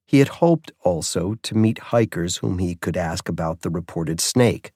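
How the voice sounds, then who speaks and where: A man's voice: sits low, expressive, moderate pace, warm tone, dry recording, clean studio sound. A man reading scripted narration of a mystery story as an audiobook narrator.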